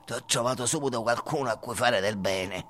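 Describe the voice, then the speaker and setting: Man playing a sly, forceful cricket ghost. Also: medium pitch, fairly quick, a little hiss or hum, lightly acted. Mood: sly, encouraging. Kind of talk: theatrical radio play acting.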